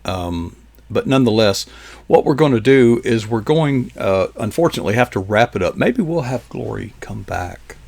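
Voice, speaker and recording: A man's voice: fairly low pitch, animated, normal speed, gravelly, darkish tone, dry recording. An older man hosting a live online interview, folksy and encouraging, asking rambling questions. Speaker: show host.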